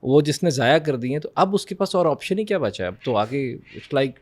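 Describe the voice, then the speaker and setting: A man's voice: medium pitch, lively intonation, normal speed. A man in his forties with a Pakistani accent, giving casual personal testimony as a guest in a candid, self-deprecating way, mixing in English. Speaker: student, podcast guest.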